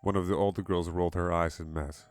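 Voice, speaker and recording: Low-voiced man narrating horror. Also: steady tone, quick pace, dry recording, faint music behind. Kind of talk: scripted horror story narration.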